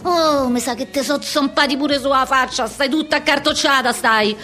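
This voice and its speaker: A woman's voice: high voice, normal speed, sharp tone, faint music behind. An actress playing a brash, foul-mouthed young working-class woman with a Roman accent, in a combative, slangy theatrical monologue. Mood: indignant. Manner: slangy, combative, rambling street talk. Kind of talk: theatrical comic monologue for radio.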